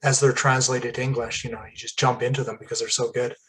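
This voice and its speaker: A man hosting a casual interview, chatty and rambling, with asides and the odd throat clearing. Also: medium pitch, flat delivery, quick pace, a bit bright. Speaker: podcast host.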